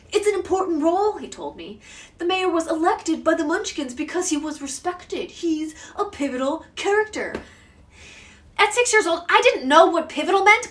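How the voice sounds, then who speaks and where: A woman delivering a theatrical dramatic monologue in an emotive, emphatic way, swinging between soft and loud. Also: high-pitched. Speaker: actor performing monologues. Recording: distant mic with clear background noise.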